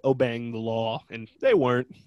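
A man's voice: mid-range voice, moderate pace, smooth, no room sound, muffled recording. A young man with a head cold, his voice nasal and congested. He talks in a loose, rambling way, full of jokes at his own expense, like a podcast co-host chatting with a friend. The sound is dry and close, with no room around it. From Ohio. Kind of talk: casual chat.

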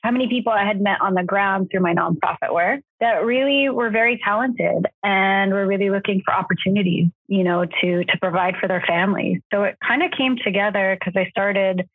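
Guest, woman from Syria, chatty and unscripted, husky tone, phone-quality remote audio. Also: medium pitch, brisk, few pauses, no echo. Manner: conversational, with fillers and self-corrections. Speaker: guest, co-founder of a soap brand. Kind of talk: casual interview answers.

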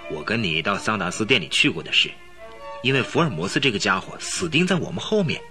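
An actor playing a young count who leads a gang, in a radio drama, speaking in a cold, calculating, smug way. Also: male voice, lowish voice, big pitch swings, quick pace, over music. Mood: scheming.

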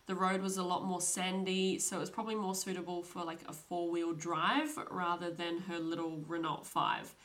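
A woman's voice: lowish voice, little pitch movement, moderate pace, hardly any pauses, fairly bright tone. A young woman hosting a podcast, telling a true crime story in a chatty, casual way with frequent laughs. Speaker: podcast host.

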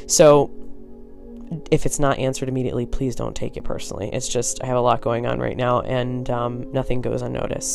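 Connecticut-accented solo podcast host, tired, frank and rambling, medium pitch. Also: darkish tone. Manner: blunt and frank, swears, rambling asides. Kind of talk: casual solo podcast talk.

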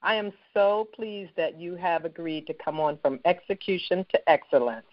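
A woman's voice: lowish voice, normal speed, no room sound. Woman host from Philadelphia, talkative, asking questions, dry, slightly muffled recording. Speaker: show host. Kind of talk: casual interview chat.

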